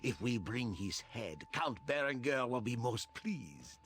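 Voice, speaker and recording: Dramatic, swooping medieval commoner. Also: male voice, mid-range voice, expressive, unhurried. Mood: grim, formal. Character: medieval soldiers and villagers addressing their lord. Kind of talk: dramatic video game unit dialogue.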